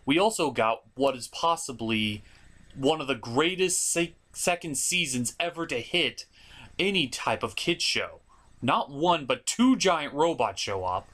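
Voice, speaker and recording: Male review host: punchy, sharp-edged voice, very varied pitch, emphatic and opinionated, informal delivery. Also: animated, measured pace. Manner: emphatic, opinionated, casual and blunt. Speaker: host of a cartoon review show. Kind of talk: enthusiastic scripted video review with casual asides.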